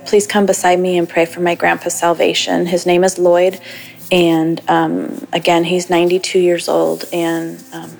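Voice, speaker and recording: A woman calling in, praying aloud gently and asking for prayer for her grandfather. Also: on the low side. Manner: gentle, devout, with many fillers. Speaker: caller. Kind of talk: spoken prayer and prayer request.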